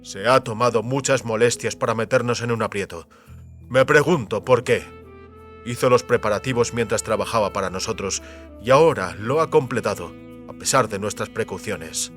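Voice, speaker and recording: A man voicing an aging company boss, wary and weary, with formal, measured speech that can turn startled, in an audio drama. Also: on the low side, fairly bright tone, faint music behind, close to an everyday voice. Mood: wary, puzzled. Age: older.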